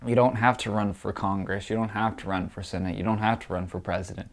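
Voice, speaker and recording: A man's voice: on the low side, steady tone, fast. A young man talking in casual political commentary, congested and nasal from allergies, opinionated and scornful with many fillers. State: congested, stuffy nose from allergies.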